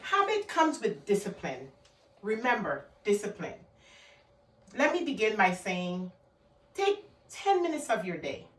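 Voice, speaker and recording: A woman's voice: medium pitch, wildly swooping pitch, a little slow, pauses often, echoey room, distant mic. A woman with a Guyanese accent giving an emphatic motivational talk, repeating her key words for stress. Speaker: motivational vlogger.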